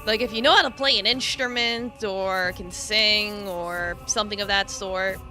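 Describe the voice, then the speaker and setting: A woman's voice: medium pitch, steady tone, a little slow, bright, forceful tone, soft background music. A woman streaming a game, chatting casually with viewers in a giggly, rambling way full of fillers. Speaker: VTuber streamer. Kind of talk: casual live-stream chat while gaming.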